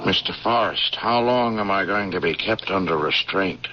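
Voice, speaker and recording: Actor as deep-voiced captive major, very noisy radio. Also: male voice, moderate pace, natural voice. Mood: strained, demanding. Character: accused army major held in irons. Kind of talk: old-time radio drama acting. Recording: muffled, very noisy old radio recording.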